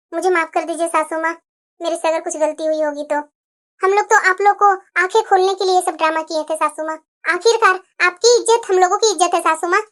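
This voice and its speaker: A woman acts out an outspoken young daughter-in-law in a cartoon moral drama. The voice is extremely high and sharp. She speaks in a pleading, argumentative way, as if lecturing her elders. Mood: apologetic. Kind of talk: cartoon family drama dialogue.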